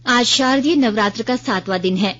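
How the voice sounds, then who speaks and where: A woman's voice: a little high, normal speed, a bit bright. A woman reads a formal scripted regional news bulletin on the radio as the newsreader. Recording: dull lo-fi radio broadcast.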